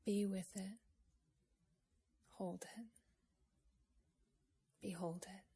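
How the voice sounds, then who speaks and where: Female meditation guide, breathy and husky, even-toned delivery, gentle and unhurried with generous pauses. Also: mid-range voice. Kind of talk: slow guided meditation, with a poem recited.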